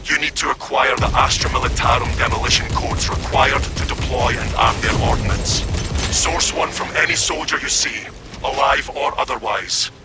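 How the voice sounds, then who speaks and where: A man's voice: mid-range voice, normal speed, natural voice. A man playing a stern officer barking clipped orders. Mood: commanding. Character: stern male officer commanding a squad by radio. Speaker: commanding officer. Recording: game audio over loud music.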